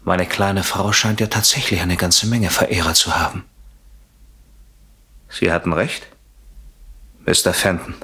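An actor playing a betrayed husband turned cold-blooded killer in a radio crime drama, speaking with deliberate sardonic menace. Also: male voice, sits low, steady tone, sharp tone, husky, full character voice.

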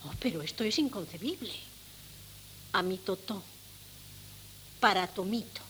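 A woman's voice: mid-range voice, lively intonation, unhurried, fairly bright tone. An actress playing a fretful, doting middle-aged mother in a 1970s radio drama, with theatrical, emotional delivery. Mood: shocked. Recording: noisy old cassette radio recording with hum.

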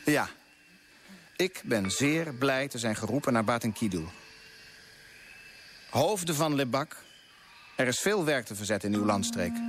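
A man playing an idealistic, grand colonial official in a Dutch radio play, speaking with rhetorical flourish. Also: on the high side.

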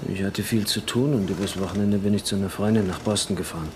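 A man's voice: low-pitched, steady tone, quick pace, heavy background noise, natural voice. A man playing a casual, bigoted auto painter in a radio crime drama, offhand and slightly defensive. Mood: offhand, wary.

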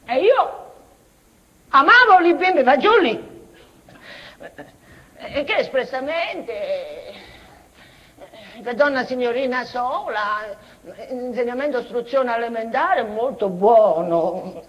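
An actress playing an unhinged, childlike former schoolteacher in a rambling tragicomic stage monologue, halting and repeating herself, swinging between outbursts and muttering. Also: female voice, on the high side, fairly bright tone. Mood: wistful, halting. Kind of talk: theatrical comic-tragic monologue, broken invented dialect. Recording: dull, noisy theatre recording.

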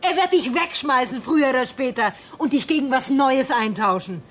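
A woman's voice: sits high, moderate pace. An actress playing a defiant female doctor held hostage in a radio drama, sarcastic, biting and taunting. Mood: bitterly accusing.

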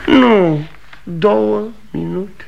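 An actor in his sixties playing an aging, proud, desperate traveling salesman, with a husky, gravelly voice and declamatory delivery in a radio play. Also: high voice, highs cut off, slight background noise. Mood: brooding. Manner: declamatory, swinging between bluster and wonder. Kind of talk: 1960 radio theatre acting.

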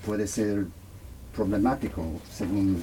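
Guy asking a question in second-language spanish, slow and halting, mellow voice, bit of background noise. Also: lowish voice, steady tone, rough recording. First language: not Spanish, Spanish as second language. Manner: halting, searching for words. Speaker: audience member asking questions. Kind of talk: unscripted question at a book talk.

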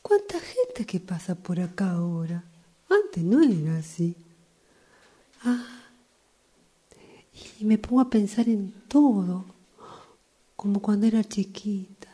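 A woman's voice: mid-range voice, animated, slow, pauses often, dark tone, slightly rough. A woman acting a dreamy, wistful train passenger in a radio drama, theatrically echoing her own phrases. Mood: dreamy, nostalgic.

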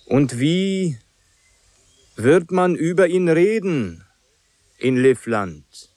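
Earnest mourner in radio play. Mid pitch, slow insistent phrasing, very dry room. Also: male voice, lively intonation, a little mellow, dry recording. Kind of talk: literary radio drama acting.

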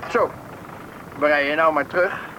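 Guy acting helpful railway official, brisk, kinda high voice, noisy radio drama recording. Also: muffled recording, natural voice. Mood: proud, explaining. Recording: clearly noisy outdoor radio play scene.

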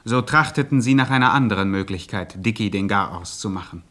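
A man's voice: lowish voice, brisk, fairly bright tone. A man narrating a radio crime satire like a mock documentary, formal and precise.

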